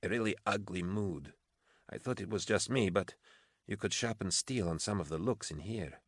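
A man's voice: deep voice, normal speed, breathy edge, no room sound, clean studio sound. A man voicing an exhausted, foul-mouthed female military officer for an audiobook, blunt and pugnacious, with a sardonic edge. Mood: weary, dry. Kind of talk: audiobook character acting.

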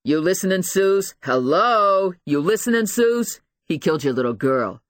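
A woman's voice: a bass voice, very animated, moderate pace, no echo, clean studio sound. A woman doing a tough, bullying male cop. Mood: loud, goading. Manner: blunt, goading, slangy, demanding. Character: aggressive, bullying, tough male police investigator. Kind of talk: dramatised audiobook dialogue.

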